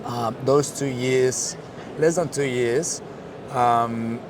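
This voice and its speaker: A man's voice: mid-range voice, slow. A man with a Colombian accent, a Spanish speaker, telling his career story in a casual interview, with many fillers and restarts. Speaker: interview guest, marketing director. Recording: street noise behind.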